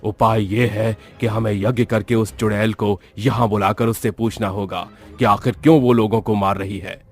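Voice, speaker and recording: Tantric sage for horror cartoon, played by a man: lowish, commanding, solemn, music underneath. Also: fairly even intonation, moderate pace, husky. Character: stern male tantric holy man, commanding, confident. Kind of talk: horror cartoon story acting.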